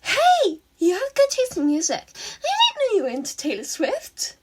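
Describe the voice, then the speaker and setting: Woman doing a high, bubbly villain girl. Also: moderate pace, exaggerated voice. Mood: playful. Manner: girlish, excitable.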